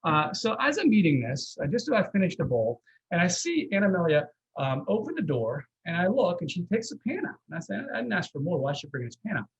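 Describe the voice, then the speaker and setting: A man's voice: sits high, big pitch swings, fast, breathy edge, lo-fi sound. A man giving a live cooking demonstration as guest chef, explaining step by step in a folksy, reassuring, conversational way.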